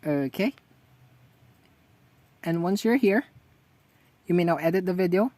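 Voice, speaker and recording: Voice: mid-range voice, animated, unhurried, darkish tone, no room sound. Dry, close-sounding app tutorial narrator. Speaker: tutorial host. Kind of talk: step-by-step screen tutorial narration.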